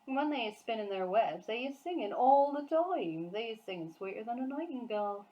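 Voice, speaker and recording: A woman's voice: a little high, expressive, normal speed, dark tone, highs cut off, pitched above the performer's own voice, close to an everyday voice. A woman voicing a big, friendly male giant from a children's storybook, earnest and emphatic, full of childlike wonder, speaking in quaint muddled grammar. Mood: excited wonder. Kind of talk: acted children's storybook dialogue.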